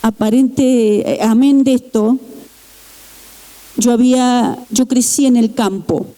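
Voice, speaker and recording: A middle-aged woman from Chile, giving an earnest, halting personal testimony in church with pauses and restarts. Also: fairly high pitch, fairly even intonation, dark tone. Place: church sanctuary.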